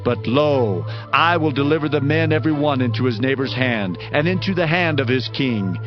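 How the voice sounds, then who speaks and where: A man's voice: mid-range voice, animated, moderate pace. A man giving a dramatized Bible reading in a solemn, declamatory manner. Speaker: Bible narrator. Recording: dull lo-fi audio with loud music. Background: background music.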